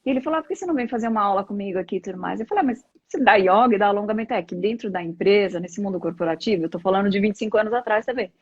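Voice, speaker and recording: A woman's voice: medium pitch, very fast, few pauses, husky, no room sound. A middle-aged Brazilian woman talking conversationally as a live interview guest, explaining things reflectively with examples. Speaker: interview guest, yoga practitioner. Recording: dull, lo-fi live stream audio.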